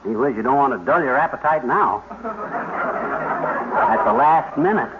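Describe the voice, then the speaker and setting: An actor playing a blustery, cheerful bumbling husband in a 1950s radio comedy, chummy and boastful. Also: male voice, mid-range voice, animated, normal speed, close to an everyday voice. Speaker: sitcom husband. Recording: hissy lo-fi 1950s radio broadcast.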